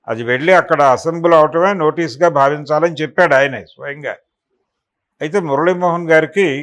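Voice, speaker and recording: A man's voice: a little high, a bit bright. A man giving extended spoken news analysis as a political commentator, explaining and recounting in detail.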